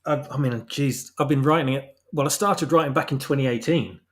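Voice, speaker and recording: A man's voice: mid-range voice, a little fast. Chatty self-deprecating man from the UK. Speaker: guest, hobby fan-fiction writer. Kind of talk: casual interview chat.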